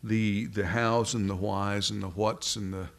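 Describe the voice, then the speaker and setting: Man preaching a halting, searching sermon. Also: lowish voice, flat delivery, gravelly. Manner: halting, repeats words while searching for phrasing.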